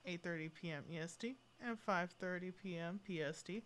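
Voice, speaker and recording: A woman's voice: fairly low pitch, brisk, fairly bright tone. A woman streaming a game live, chatting casually in a rambling, playfully dramatic way. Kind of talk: casual live gameplay commentary. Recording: game music behind the voice. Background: video game music.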